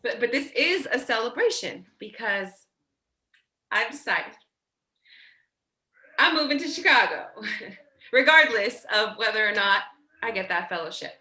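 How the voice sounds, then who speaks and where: A woman playing an insecure, blunt, protective older sibling in a staged play reading, halting and repeating words. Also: fairly high pitch, lively intonation, sharp tone, roomy sound. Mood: earnest, nervous.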